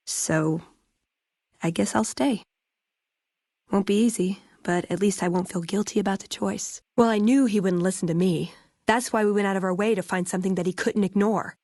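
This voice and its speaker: A young woman played by an actress as a game companion, with a medium-pitched voice in a very dry, close recording. She is wry and idealistic, talking in a natural, conversational way with a sardonic edge. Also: darkish tone, no room sound, close to an everyday voice. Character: wry, idealistic young woman, scavenger from a post-war order. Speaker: video game companion character. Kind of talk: naturalistic game dialogue acting.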